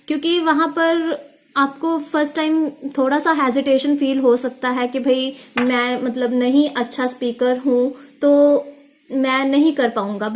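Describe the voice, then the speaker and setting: A young woman teacher talks through a spoken lesson in a high, clear voice. She explains at an even pace and states each short tip slowly and deliberately. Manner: explains in mixed Hindi and English, reads out English tips slowly. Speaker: IELTS teacher. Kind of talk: video lesson on speaking.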